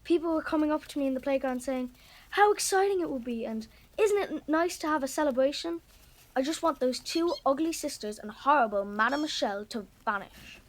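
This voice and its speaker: An actress playing a sulky, headstrong schoolgirl in a children's audio drama, blunt and expressive. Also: high-pitched, a little fast, slight background noise. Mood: scornful. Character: sulky, headstrong schoolgirl talking to her pet rodents.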